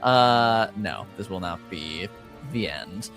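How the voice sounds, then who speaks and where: A man narrating a casual recap as game master of a tabletop game, haltingly searching for words. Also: low voice, animated, a bit bright, soft background music.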